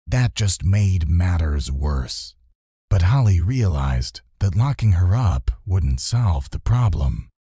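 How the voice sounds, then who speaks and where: A man reading a children's fantasy audiobook as narrator, telling the story in a measured, scripted way. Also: low-pitched, sing-song, dark tone, husky, no echo, clean studio sound.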